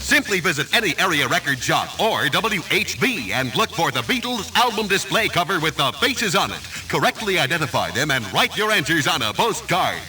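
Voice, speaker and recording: Male American promo announcer, late-sixties top 40: high-pitched, punchy, very animated sell over music. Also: moderate pace, hardly any pauses, recorded from a distance, low-quality recording. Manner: punchy, salesmanlike delivery. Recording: 1960s AM radio promo tape.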